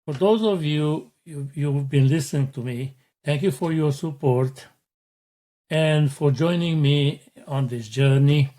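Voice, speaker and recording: A middle-aged man from Romania hosting a motivational podcast, speaking in a slow, deliberate and earnest way. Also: fairly high pitch, warm tone. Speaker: podcast host.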